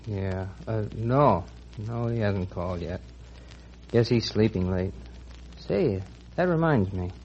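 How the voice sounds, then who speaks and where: A man's voice: fairly low pitch, expressive, takes it slowly, darkish tone. A man playing a tired night hotel clerk in an old-time radio drama. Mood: drowsy, flat. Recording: dull, noisy old radio recording.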